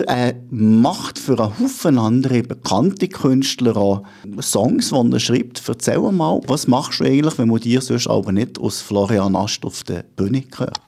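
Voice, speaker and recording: A man's voice: medium pitch, warm tone. A man hosting a radio interview, chatty and informal as he introduces his guest. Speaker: radio host.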